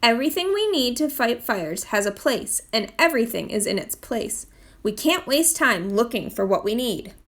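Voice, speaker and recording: A woman's voice: on the high side, sing-song, normal speed. A woman voicing a friendly, proud male fire captain in a children's storybook, welcoming and explaining things. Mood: reassuring, explaining.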